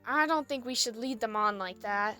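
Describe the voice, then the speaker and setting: A woman's voice: on the high side, moderate pace, bright, forceful tone, soft background music. A woman playing a small, cheery, idealistic young female dragon in an audio drama, perky and earnest.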